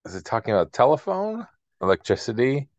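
A man's voice: medium pitch, very animated, brisk, no room sound. A man chatting casually about an album as a podcast co-host. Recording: dull, dry lo-fi sound.

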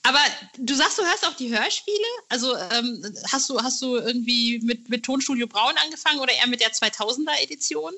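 A woman's voice: a little high, expressive, a little fast, hardly any pauses, sharp tone, dull audio. A woman hosting a podcast, chatting casually in an informal, slangy, enthusiastic way. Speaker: podcast host, interviewer.

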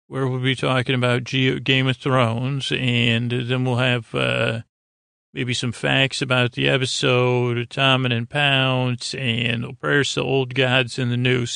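A middle-aged man hosting a sleep podcast, talking in a meandering, halting, reassuring way that keeps interrupting itself. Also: gravelly, fairly bright tone, dry recording, studio quality. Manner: meandering, halting, self-interrupting with trailing thoughts; reassuring, low-pressure. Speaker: podcast host. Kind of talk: rambling bedtime sleep-podcast monologue.

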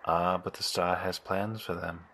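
Zealot in horror audio drama: deep-ish creaky male voice, solemn, wary, formal riddling speech. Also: level tone, unhurried, fairly bright tone, slight background noise. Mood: solemn, superior. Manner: solemn, formal, archaic phrasing.

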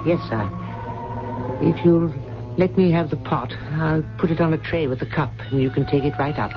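An actor playing a formal, deferential, quietly reproachful butler in a 1970s radio drama. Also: male voice, fairly high pitch, normal speed, over music, close to an everyday voice. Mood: helpful. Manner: formal, polite, servile. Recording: dull, noisy old radio broadcast with hum.

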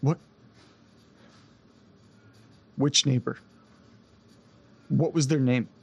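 A man acting a troubled man in an audio drama, haunted and desperate. His voice is slightly husky and mid-pitched, and he talks in halting, earnest phrases that break off. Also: steady tone, exaggerated voice. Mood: agitated, astonished. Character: distressed man recounting a haunted-house ordeal, desperate to get home.

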